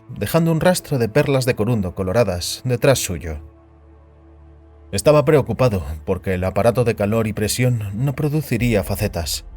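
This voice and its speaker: A man's voice: lowish voice, darkish tone. A man reading a science fiction story as a scripted, dramatic audiobook narration. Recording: music under the voice. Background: background music.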